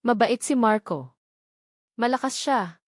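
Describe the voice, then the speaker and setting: A woman's voice: on the high side, animated, slow pace, no echo, studio quality. A woman voicing a suave, condescending female celebrity who sizes up a rival in a dramatic story. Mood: cool, condescending.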